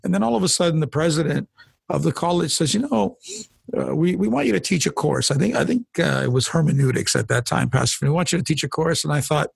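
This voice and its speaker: Middle-aged pastor guy telling his story, kinda raspy gravelly voice, restarts a lot, dry close sound. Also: on the high side, fast, no room sound. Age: 40s or older. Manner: earnest, storytelling, frequent restarts and repeated words. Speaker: pastor and guest. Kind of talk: personal testimony in a conversational interview.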